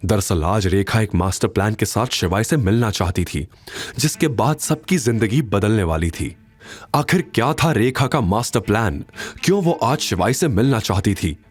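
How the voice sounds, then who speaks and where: A man's voice: lowish voice, lively intonation, moderate pace, breathy edge, no echo. A man narrating a scripted audio drama in a dramatic storytelling style.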